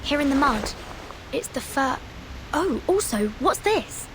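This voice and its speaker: A woman voicing a calm, determined young female investigator in a video game, terse and measured. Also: high-pitched, background noise. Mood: focused, dry.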